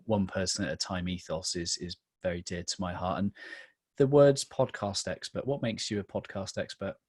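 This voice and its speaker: A woman's voice: a bass voice, steady tone, normal speed, slightly rough, no echo, studio quality. A woman hosting a podcast interview, encouraging her guest with frequent affirmations. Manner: warm encouraging interviewer, many affirming murmurs. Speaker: podcast host.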